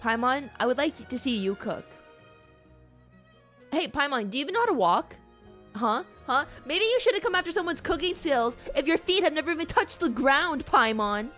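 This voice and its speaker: A woman's voice: high-pitched, very animated, normal speed, a bit bright. A woman streamer giving casual, slangy and emotive live commentary on a game. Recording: game music behind voice. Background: game music.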